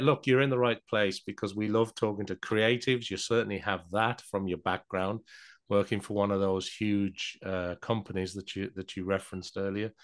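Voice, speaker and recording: Man, very low deep voice, podcast host, welcoming, long framed questions. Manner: welcoming, lifts his voice on questions. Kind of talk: interview hosting.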